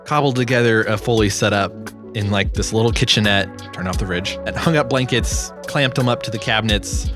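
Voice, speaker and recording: A man's voice: on the low side, a little fast, music in the background. A man giving an informal, self-deprecating walkthrough of his own sound design project, as in a casual tutorial.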